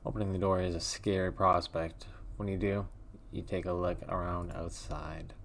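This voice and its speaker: Deep-voiced man reading a horror game. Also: level tone, normal speed, creaky, fairly bright tone, a little hiss or hum. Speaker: let's play host.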